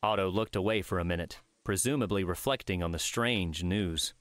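Low-pitched male audiobook narrator. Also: normal speed, a bit bright, no echo. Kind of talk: scripted story narration.

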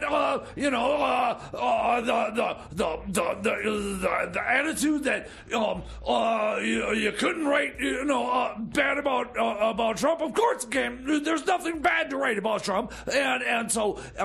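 A man's voice: very high-pitched, a little slow, vocal fry, few pauses, a bit bright, shouting, full character voice. A man acting a ranting, paranoid radio sidekick who stammers, repeats himself and rambles in improvised comedy radio theater. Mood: indignant ranting. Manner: stammering, repetitive, rambling, flustered. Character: ranting, blustering, paranoid male Trump-loving radio sidekick.

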